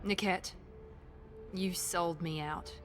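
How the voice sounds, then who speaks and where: A woman's voice: lowish voice, fairly even intonation, slow pace, faint music behind. An actress voicing a proud, guarded woman who is fiercely protective of her sister, speaking with controlled intensity in a video game. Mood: hurt, angry.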